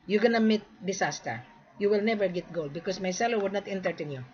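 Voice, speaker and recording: A woman from the Philippines making a repetitive, insistent sales pitch, lecturing the listener in a direct-to-camera talk. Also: fast, a little mellow. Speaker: gold sales marketer. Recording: dull lo-fi recording.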